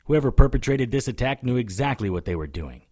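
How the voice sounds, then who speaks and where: A man playing a hard-boiled occult detective in an audio drama, narrating and talking in a dry, sardonic way with a husky voice. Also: medium pitch, lively intonation, brisk, warm tone, lightly acted. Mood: grim, determined. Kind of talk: first-person noir narration and dialogue in audio drama.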